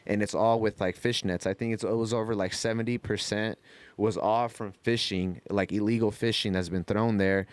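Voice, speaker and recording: A man's voice: fairly low pitch, steady tone, normal speed, dry recording. A man talking in a casual, rambling podcast style, full of slang and cursing. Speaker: podcast co-host.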